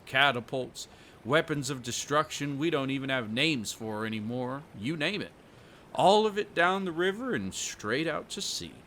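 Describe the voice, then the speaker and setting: Man acting a bright-voiced folksy country fisherman. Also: mid-range voice, a little hiss or hum. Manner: folksy rural phrasing, dropped g's. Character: kindly rustic fisherman, folksy storyteller, hospitable. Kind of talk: audio roleplay acting.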